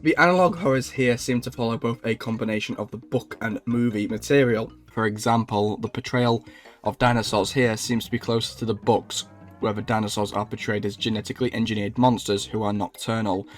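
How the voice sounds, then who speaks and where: A man's voice: on the low side, steady tone, fairly quick. Male video essay narrator over background music. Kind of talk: informal scripted narration.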